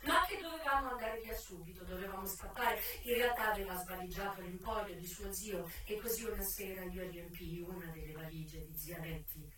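A woman's voice: lowish voice, fairly quick, hardly any pauses, pitched below the performer's own voice, natural voice. An actress playing a worldly madam who recalls her youth in a frank, sensual, intense theatrical narration. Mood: low, confiding. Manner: dramatic, intense, swinging from hushed to loud. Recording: echoey, distant stage recording with music.

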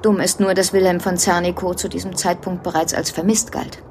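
A woman's voice: lowish voice, little pitch movement, brisk, a little mellow, a little hiss or hum, natural voice. A woman playing a matter-of-fact lawyer in a radio drama, with a dry, wry, businesslike manner. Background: faint music.

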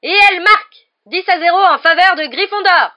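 A woman voicing an excited, partisan schoolboy shouting cheeky live sports commentary in an audiobook. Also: very high voice, a little fast, pitched above the performer's own voice. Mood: thrilled, urging. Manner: exclamatory, shouting, openly biased.

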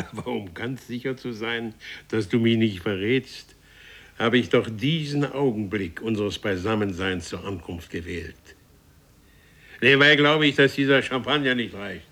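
A man's voice: lowish voice, a little slow, pushed and bright, heavily acted. Imperious, grandiose warrior man. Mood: familiar, expansive. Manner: commanding, grandiose, solemnly threatening. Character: imperious, menacing male warrior from a future race. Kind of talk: German radio play acting.

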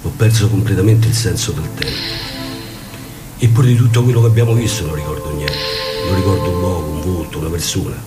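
A man's voice: low-pitched, brisk, lightly acted. An actor in a radio play as a weary, grumbling old man with a Roman Italian accent. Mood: wistful. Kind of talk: radio theatre acting. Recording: loud music over the voice.

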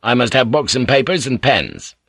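A man's voice: mid-range voice, very animated, normal speed, gravelly, fairly bright tone, slightly rough, no room sound, studio quality, exaggerated voice. A man reading an audiobook, voicing a stubborn, angry English ship's pilot who talks bluntly and icily. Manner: blunt, clipped, icy. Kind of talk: audiobook character voice.

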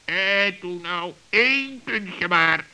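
A man playing a brisk, teasing but kindly raven in a children's radio play, with a high, sharp voice. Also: lively intonation, clean tone, full character voice. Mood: coaxing, doubting.

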